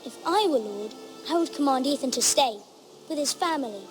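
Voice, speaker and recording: A woman's voice: high voice, expressive, a little fast, faint music behind. A woman voicing an innocent, eager little girl, the youngest sister in a fantasy game drama. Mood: pleading, insistent. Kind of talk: dramatic video game acting.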